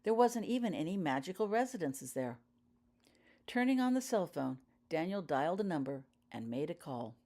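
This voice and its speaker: A woman's voice: fairly low pitch, very animated, normal speed, dark tone. A woman reading her own fiction aloud as audiobook narration, telling the story in character-focused prose.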